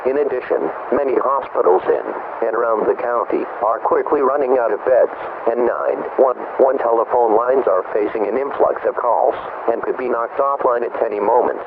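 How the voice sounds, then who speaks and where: A man's voice: medium pitch, moderate pace, pauses often, natural voice. A man playing a sober county emergency alert announcer, reading formal warnings in an official, impersonal manner over a broadcast. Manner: official, impersonal, urgent wording. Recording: tinny, noisy emergency radio broadcast.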